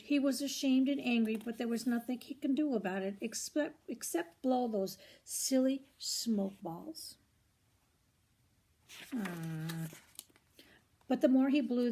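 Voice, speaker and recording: An older woman reads a children's storybook aloud in an expressive, grandmotherly way, with short asides to the listener. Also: on the high side, unhurried. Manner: expressive, grandmotherly, commenting on the pictures.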